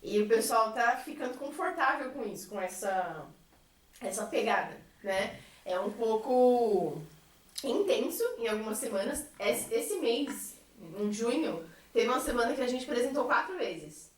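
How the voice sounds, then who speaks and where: A woman's voice: on the high side, lively intonation, measured pace. A woman from a theatre group chatting casually on a podcast. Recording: echoey room, distant mic.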